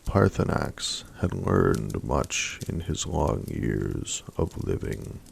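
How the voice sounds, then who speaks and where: Deep gravelly soft-spoken man. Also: sing-song, slight background noise. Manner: slow, soft-spoken, mostly unvoiced whispery delivery. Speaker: ASMR storyteller. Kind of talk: soft-spoken ASMR story reading.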